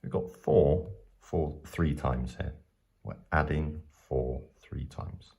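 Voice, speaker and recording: A man's voice: rumbling low, steady tone, slow, creaky, a little mellow. A man teaching maths step by step in a patient, explanatory way, going back over his points.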